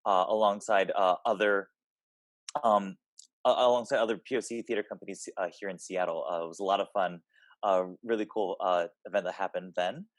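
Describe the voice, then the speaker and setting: A man's voice: low voice, steady tone, fairly quick, dry recording. A man, a theater director, giving an informal, somewhat hesitant spoken introduction to a show.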